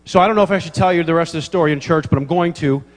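Animated pastor preaching in a reverberant church. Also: male voice, a little high, very fast. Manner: animated storyteller, acts out dialogue, laughs at own jokes. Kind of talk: sermon with humorous stories.

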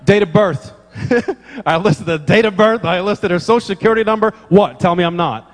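Animated pastor preaching in a reverberant church. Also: male voice, high-pitched, moderate pace. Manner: animated storyteller, acts out dialogue, laughs at own jokes. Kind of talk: sermon with humorous stories.

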